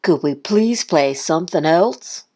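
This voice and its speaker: A woman's voice: deep voice, animated, a little slow, darkish tone. A woman voicing a reluctant, glum goose in a children's storybook, mumbling and groaning the lines. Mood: reluctant, put upon.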